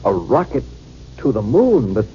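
A man's voice: lowish voice, very animated, a little slow, a little hiss or hum. A young man in a 1950s radio drama, playing an earnest, deferential Air Force lieutenant. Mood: wondering, hesitant. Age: young adult. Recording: muffled 1950s radio recording.

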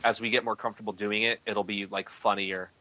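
Bright-voiced male podcast host. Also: fairly low pitch, flat delivery, brisk, low-quality recording. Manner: loose, rambling, many fillers. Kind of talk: casual podcast chat.

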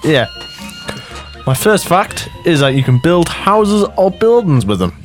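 A man co-hosting a radio show, delivering silly facts deadpan and giggling at his own jokes. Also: fairly high pitch, measured pace. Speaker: co-host running a comedy segment. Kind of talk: deadpan comic bits. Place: small radio studio. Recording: music bed behind talk.

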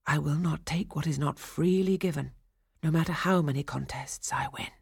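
A woman voicing a gentle, passionate young male shepherd in a read-aloud storybook, moving from tender whispers to anguished cries. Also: sits low, moderate pace, creaky, husky, dry recording, studio quality, exaggerated voice.

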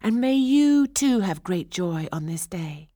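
Woman playing a high-pitched, polite young princess. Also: sing-song, normal speed, warm tone, no echo, studio quality. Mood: grateful, delighted. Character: sweet, polite young princess, easily delighted.

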